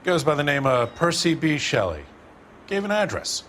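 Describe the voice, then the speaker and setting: A man's voice: on the high side, very animated, moderate pace, fairly bright tone, slight background noise. A man playing a curt, evasive pawnbroker in a video game, speaking tersely and grudgingly.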